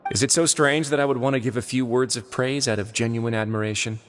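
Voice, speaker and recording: An actor playing a composed, wry and courteous duke in a video game, speaking in a measured, unhurried manner. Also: male voice, mid-range voice, fairly even intonation, lightly acted.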